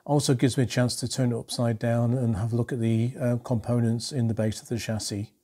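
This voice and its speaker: A middle-aged man in a home workshop talks calmly and methodically through a radio repair, with many ums and uhs. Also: mid-range voice, fairly quick. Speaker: electronics restorer.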